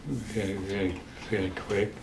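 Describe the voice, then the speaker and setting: Older Dutch-accented man in his eighties, unhurried and level, stop-start storyteller; echoey room. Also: on the low side, flat delivery, background noise. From the Netherlands. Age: 80s. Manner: halting, with many restarts and pauses. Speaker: interview guest. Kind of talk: storytelling in a casual interview.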